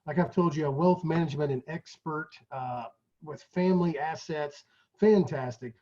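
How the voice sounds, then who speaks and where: A man's voice: fairly high pitch, moderate pace, dark tone. A man hosting an event, giving an informal live introduction in an effusive, chummy manner. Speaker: event host introducing a speaker. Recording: dull lo-fi audio.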